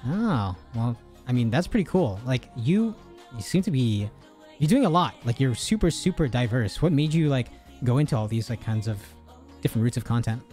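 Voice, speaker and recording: A young male streamer with a mid-range voice interviews his guest in a relaxed, slangy way, full of encouragement. His home mic picks up faint music playing behind him. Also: mid-range voice, moderate pace. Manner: laid-back, slangy, hypes up the guest. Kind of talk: casual interview chat.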